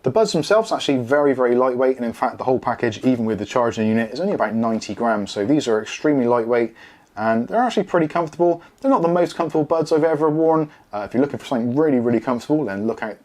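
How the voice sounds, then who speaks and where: Guy doing a laid-back gadget review, medium pitch, explains stuff in a measured understated way. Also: lively intonation, darkish tone. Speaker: product reviewer. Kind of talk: casual product review narration.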